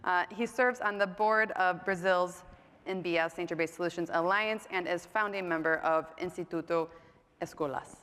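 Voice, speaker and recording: Woman panel moderator, lowish voice, chatty and self-deprecating, miked in a reverberant conference hall. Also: normal speed, fairly bright tone, clean tone. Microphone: mic on stage. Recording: echoey hall.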